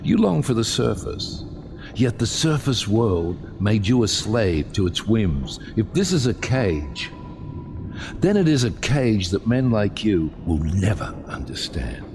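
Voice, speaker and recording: An actor playing a bitter, vengeful sea captain who condemns mankind in grand, theatrical audio drama speeches. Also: male voice, fairly low pitch, very animated, background noise, exaggerated voice. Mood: proud defiance. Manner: grand, theatrical, deliberate oratory dropping to hushed intensity.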